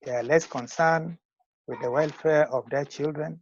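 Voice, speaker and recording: An older man in his sixties with a Ugandan accent, giving measured, formal interview answers as a school founder. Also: on the high side, steady tone, dry recording. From northern Uganda. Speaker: school founder and retired teacher. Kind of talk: interview answers on a video call. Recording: muffled lo-fi call audio.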